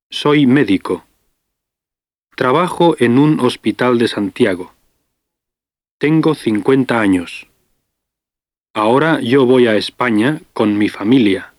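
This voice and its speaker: A man in his fifties playing a doctor travelling with his family, speaking clearly and carefully in a scripted language-lesson dialogue. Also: mid-range voice, no room sound, clean studio sound. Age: 50s. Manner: clear, careful, deliberate delivery. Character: fifty-year-old male doctor on family trip.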